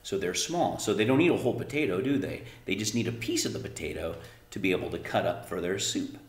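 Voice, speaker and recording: A man reading a storybook aloud as narrator, with expressive, emphatic phrasing and casual explanatory asides. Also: low-pitched, fast talker, echoey room.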